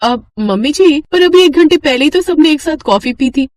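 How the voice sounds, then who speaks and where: A woman's voice: sits high, lively intonation, moderate pace, darkish tone, no room sound, pitched above the performer's own voice. A woman voicing a young city-bred new bride in a cartoon moral story, plaintive and whiny. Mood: reluctant. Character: young city-bred new daughter-in-law, shivering and complaining.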